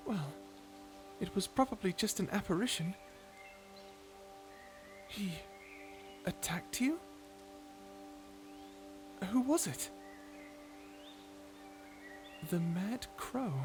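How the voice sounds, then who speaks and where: A performer voicing a kind guardian angel in an ASMR roleplay, soothing, earnest and encouraging. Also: mid-range voice. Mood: gentle concern. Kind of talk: ASMR roleplay character acting.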